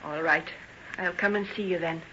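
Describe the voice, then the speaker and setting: Bright-voiced, protective country daughter. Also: female voice, fairly low pitch, fairly even intonation, normal speed. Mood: wary. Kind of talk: old-time radio drama acting. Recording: dull old radio recording, faint noise.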